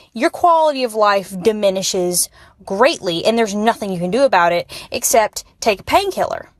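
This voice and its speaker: A woman's voice: mid-range voice, a bit bright, no echo. Young woman leaving a candid voicemail. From Alabama, United States. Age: young adult. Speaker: listener calling in. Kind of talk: personal voicemail account, conversational. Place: voicemail message recorded for a podcast.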